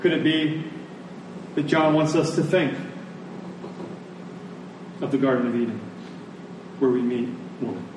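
A man preaching a sermon to a congregation, phrasing deliberately and emphatically and repeating lines for effect. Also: medium pitch. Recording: echoey room, distant mic, faint noise.

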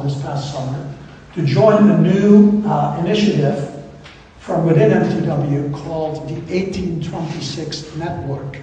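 Middle-aged man, missionary at the pulpit, earnest and halting, distant mic in a reverberant church with faint room hum. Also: fairly high pitch, dark tone. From Belgium. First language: Flemish. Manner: earnest, measured, hesitant with frequent fillers. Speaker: visiting missionary speaker. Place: church sanctuary. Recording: echoey church hall from far off.

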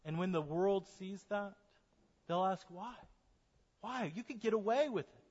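A man preaching a sermon as a pastor, speaking earnestly and slowing down to stress key points. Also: sits high, darkish tone. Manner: earnest preaching, slows for emphasis. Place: church sanctuary. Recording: dull sermon recording in a reverberant church.

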